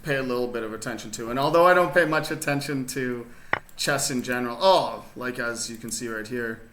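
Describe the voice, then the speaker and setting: A man's voice: mid-range voice, brisk, background noise. A man chatting casually with viewers while streaming a chess game from his rural desert home, rambling and laid-back, full of fillers and restarts. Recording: roomy echo.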